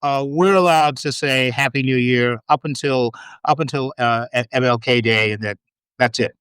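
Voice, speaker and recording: A man born in Trinidad with an American accent, a podcast guest chatting casually in a halting, wry way full of restarts. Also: mid-range voice, fairly bright tone, smooth, no echo. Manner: halting, many restarts and fillers, wry humor. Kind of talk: casual chat.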